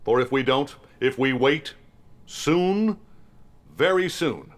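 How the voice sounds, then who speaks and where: An actor playing a grandiose, hawkish American president with an American accent, giving an emphatic political speech with dramatic pauses for a video game. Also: male voice, medium pitch, expressive. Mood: rousing, ominous.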